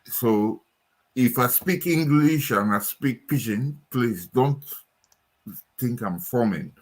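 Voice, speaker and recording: A man's voice: mid-range voice, takes it slowly. A man from Nigeria speaking as a panelist, hesitant with many fillers.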